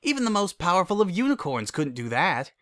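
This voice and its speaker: A man's voice: high-pitched, very animated, brisk, a bit bright, voice pushed up. A man voicing a nervous, reluctant male unicorn pony with a hesitant, anxious manner in a story reading.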